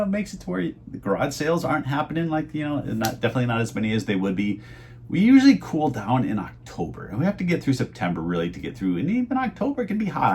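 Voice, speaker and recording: A middle-aged man casually rambling in a home vlog about his reseller sales, chatty and meandering with many fillers, his voice going hoarse. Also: on the low side. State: losing his voice. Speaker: eBay reseller vlogger.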